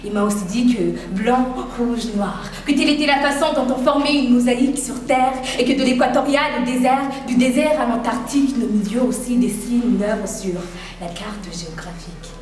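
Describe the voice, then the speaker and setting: A woman's voice: a little high, normal speed, a little mellow. A teenage girl, performing slam poetry with a rhythmic, emphatic delivery. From Burundi. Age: teens. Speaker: high school pupil performing slam. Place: large hall. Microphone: far from the microphone. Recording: echoey hall from far off, background ambience.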